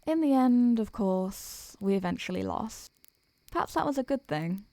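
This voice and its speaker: A woman playing an ancient, doting succubus in an intimate roleplay audio, speaking softly and gently in an affectionate, unhurried way. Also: on the high side, expressive, dry recording. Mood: solemn storytelling.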